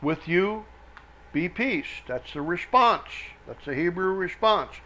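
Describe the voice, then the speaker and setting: A man preaching verse by verse, reading scripture aloud in emphatic, halting phrases and breaking off for explanatory asides. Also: high voice, expressive, pushed and bright, a little hiss or hum. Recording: dull, lo-fi sound.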